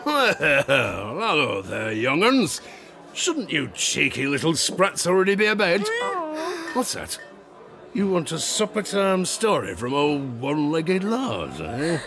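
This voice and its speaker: An actor playing an old one-legged sailor with a gravelly voice, spinning dark sea tales theatrically in salty dialect for an audio drama. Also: male voice, a little high, big pitch swings, slow pace, over music, full character voice. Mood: gruff teasing. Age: older. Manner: salty dialect, conspiratorial, dramatic swells and hushes.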